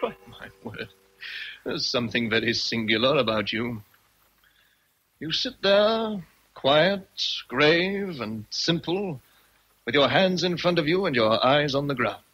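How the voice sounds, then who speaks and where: An actor playing an imperious, teasing English gentleman with commanding, formal speech in a radio drama. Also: on the high side, lively intonation, slow pace, highs cut off, a little hiss or hum. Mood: imperious, probing. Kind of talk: vintage radio drama acting.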